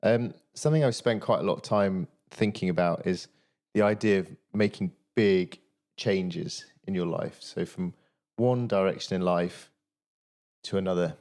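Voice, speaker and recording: A man hosting a podcast interview, asking questions in a measured, thoughtful way. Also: low-pitched, a little mellow.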